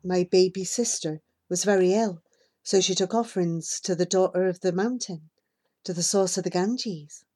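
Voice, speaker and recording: A woman's voice: lowish voice, moderate pace, dark tone, lightly acted. Woman as a wary, challenging country boy. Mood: matter-of-fact. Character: wary country boy goatherd, a little older than the hero.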